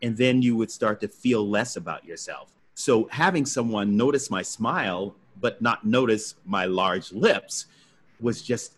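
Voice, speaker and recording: Male guest actor, deep breathy baritone, reflective and anecdotal. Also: lively intonation, a little slow. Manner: reflective, acts out his own past thoughts. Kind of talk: interview storytelling.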